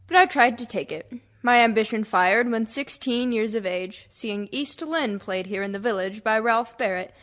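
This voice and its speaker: A woman's voice: a little high, a bit bright, natural voice. Woman playing a wistful, proud failed actress. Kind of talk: theatrical monologue for radio play.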